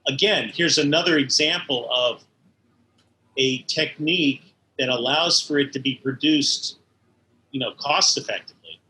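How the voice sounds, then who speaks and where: Interview guest: mature man, bright forceful tone, thoughtful and halting, faint background noise. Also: medium pitch, poor audio. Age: middle-aged or older. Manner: halting, thoughtful, searching for words. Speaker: interview guest, animation studio head. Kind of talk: conversational interview, reminiscing.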